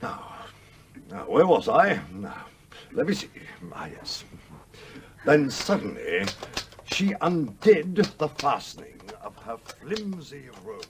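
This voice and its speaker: A salty old-school sea captain's ghost, irascible and roguish, in a radio play. The delivery is blustering and commanding, swinging widely in pitch at a medium register. Faint noise sits behind the voice. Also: male voice, very animated, takes it slowly. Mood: reflective. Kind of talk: British radio play acting.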